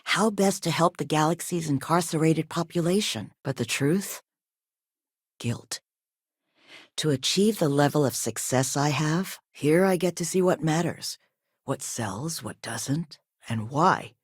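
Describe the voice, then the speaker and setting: A woman voicing a composed, businesslike company owner in a video game, with a polite, measured and formal manner. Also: deep voice, expressive, breathy edge, no room sound, clean studio sound, exaggerated voice. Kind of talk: video game dialogue acting.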